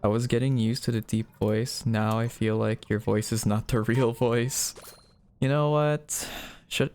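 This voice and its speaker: A man's voice: medium pitch, steady tone, unhurried, a little mellow. Guy on a livestream just chatting, reads out chat msgs and answers them, laid back convo style. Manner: reads chat messages aloud and replies. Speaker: live streamer. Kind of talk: casual chat with viewers.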